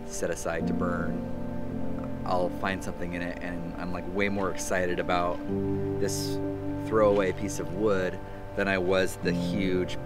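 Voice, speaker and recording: Documentary subject: thirties man, deep even voice, reflective and unhurried, music under. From United States. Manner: soft-spoken, reflective, unhurried. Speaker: furniture maker. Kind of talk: documentary interview.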